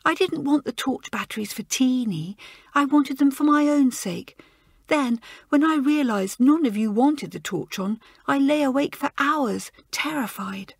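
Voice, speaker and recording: A woman reading aloud, voicing an ashamed schoolgirl who sobs and pleads as she confesses her fear of the dark. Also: sits high, measured pace, breathy edge, no echo, studio quality, pitched above the performer's own voice, full character voice. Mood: tearful. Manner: sobbing, pleading.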